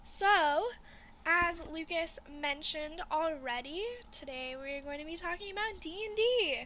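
A woman's voice: very high-pitched, measured pace, noisy background. A young woman hosting a casual podcast chat, upbeat and filler-heavy as she steers the group from topic to topic. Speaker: podcast host.

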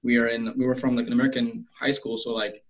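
Fast-talking young man, restless restarts. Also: medium pitch, flat delivery, rough recording. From Malaysia. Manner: rapid, filler-heavy, frequent restarts. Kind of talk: casual conversation.

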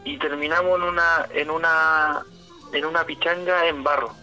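A man's voice: a little high, fairly even intonation, moderate pace, sharp tone, highs cut off, faint music behind. A man with a Chilean accent, co-hosting a casual chat and telling stories in an easygoing, slangy way.

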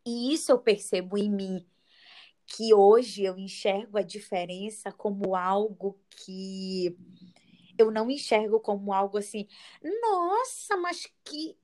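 A woman's voice: mid-range voice, takes it slowly, a little mellow. A woman from Belém, a podcast co-host who reflects aloud and explains ideas with examples. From Belém, Brazil. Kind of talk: casual podcast conversation.

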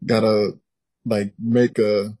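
A man's voice: fairly low pitch, little pitch movement, slow, dark tone, dry recording. A man appearing as a guest on a podcast in a casual chat, laid-back and joking.

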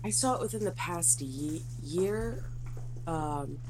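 A woman's voice: low voice, lively intonation, slow pace, noisy background. A woman co-hosting a casual, conversational movie reaction chat. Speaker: co-host.

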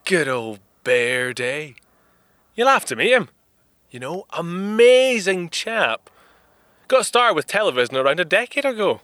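A young 1930s Scottish inventor for an audio drama. His voice is forceful and bright, with very lively swings in pitch. He is courteous and earnest, choosing old-fashioned words and easily getting flustered. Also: no room sound. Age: 20s. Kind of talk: full-cast audio drama acting.

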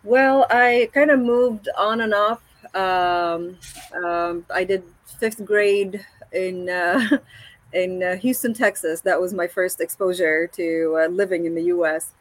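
A woman, a paramotor pilot speaking as an interview guest, hesitant with many pauses and restarts. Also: lowish voice, dry recording. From Egypt. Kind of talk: casual video-call interview.

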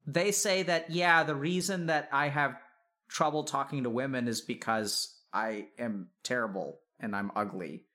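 A man's voice: mid-range voice, measured pace, bright, forceful tone. A man in a conversational counseling interview, reflective and probing, building his points step by step and checking in with questions.

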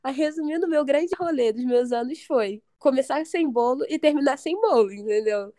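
A young woman from Rio de Janeiro speaks with a Carioca accent, high-pitched and warm in tone. She tells stories with dramatic flair, in a dry, close recording. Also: moderate pace, no echo. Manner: animated, dramatic storytelling. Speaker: podcast guest. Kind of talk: casual chat.

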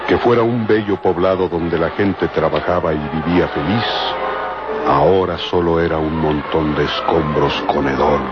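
A man's voice: low-pitched, normal speed. A man narrating a radio serial in a solemn, theatrical, scripted style. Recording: dull old radio recording, loud music.

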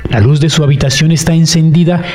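A man narrating a scripted radio drama in a measured, solemn storytelling manner. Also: fairly high pitch, steady tone. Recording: loud music over the narration.

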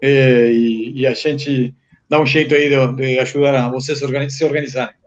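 A man's voice: mid-range voice, little pitch movement, moderate pace, lo-fi sound. Uruguayan guy, native spanish, chatting in portuguese with spanish mixed in, guest on a live stream. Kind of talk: casual live chat.

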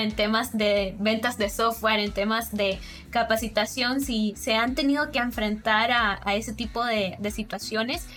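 A woman moderating a panel discussion, asking the guests questions and telling anecdotes in a lively way. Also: fairly high pitch.

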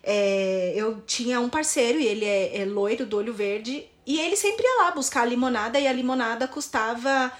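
A young woman from São Paulo with a São Paulo accent, telling relaxed travel stories as a podcast guest and laughing easily. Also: on the high side, normal speed, few pauses. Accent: Brazilian Portuguese, São Paulo. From São Paulo, Brazil.

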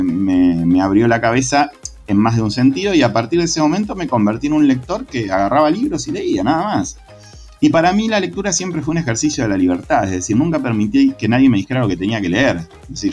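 An Argentine man speaks in a relaxed conversational interview, thoughtful and passionate, often restarting his phrases and hesitating. His voice sits at an ordinary middle pitch for a man. Faint music runs quietly underneath. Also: dull audio. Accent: Argentine Spanish. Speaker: secondary school literature teacher.

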